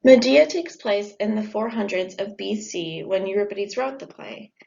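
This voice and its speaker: Young woman reading her report plainly, mid pitch, muffled lo-fi audio. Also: lively intonation, moderate pace, vocal fry, darkish tone. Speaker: student presenter. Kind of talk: informal school report. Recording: dull lo-fi audio.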